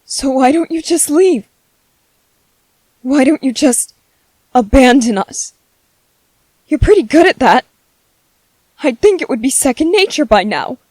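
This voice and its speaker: A woman's voice: sits high, animated, a little mellow, dry recording. Woman playing a grieving, bitter young widow. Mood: angry. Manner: slow, heavy with grief, at times tearful. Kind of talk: emotional dramatic monologue.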